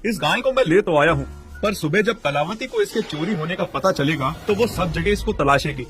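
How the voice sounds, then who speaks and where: A haughty village dairy merchant played by a man, greedy and quick to bluster. His voice sits a little high, with a scornful cartoon-tale delivery over background music. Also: expressive, natural voice. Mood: scheming. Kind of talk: acted children's cartoon story. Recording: music under the voice.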